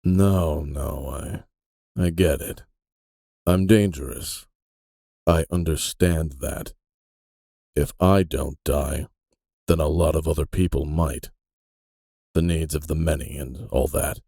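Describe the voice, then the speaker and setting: Weary old fantasy detective: rock-bottom pitch, slow, sardonic and resigned. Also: male voice, fairly even intonation, warm tone, no room sound, heavily acted. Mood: bitter resignation. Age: older. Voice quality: gravelly. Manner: dry, weary, halting. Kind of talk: fantasy audio drama acting.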